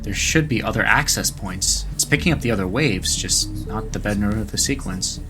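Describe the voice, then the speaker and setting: An actor playing a matter-of-fact scientist assisting a professor in an audio drama. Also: male voice, on the low side, animated, moderate pace, music in the background, natural voice.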